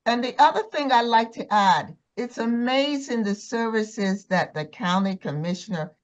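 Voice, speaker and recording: A woman's voice: a little high, normal speed, muffled recording. An older woman in her seventies or beyond, talking casually in a group discussion. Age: 70s or older. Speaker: church community member.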